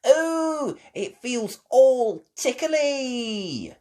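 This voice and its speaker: Excitable little pig girl, woman reading it out, high squeaky voice, jumps all over the place. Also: very slow, exaggerated voice. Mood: delighted. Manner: bright, squealing, gushing.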